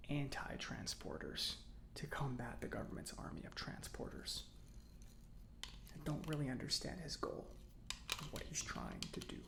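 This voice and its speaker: A man playing a chatty, opinionated robot mechanic in an ASMR roleplay, speaking softly and often near a whisper in a halting, musing, conversational way. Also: medium pitch, creaky, noisy background. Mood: reflective, doubtful. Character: chatty, opinionated male government robot mechanic, gently confiding. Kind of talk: soft-spoken ASMR roleplay.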